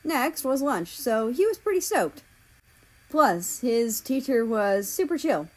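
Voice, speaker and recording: A woman's voice: on the high side, very animated, slow pace, darkish tone. A woman narrating fan fiction in an audiobook style, acting out the dialogue between passages.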